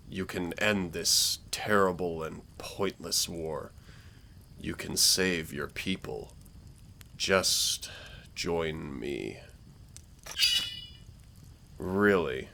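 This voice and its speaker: A man performs a villainous warlord with a very low, unhurried voice and a creaky edge. He is menacing yet persuasive, with theatrical, condescending delivery suited to a fantasy roleplay drama. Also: pushed and bright, a little hiss or hum, full character voice. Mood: pleading, persuasive, then sardonic, threatening. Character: menacing, smooth-talking male dark warlord villain invading dreams. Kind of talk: scripted roleplay audio drama, villain monologue.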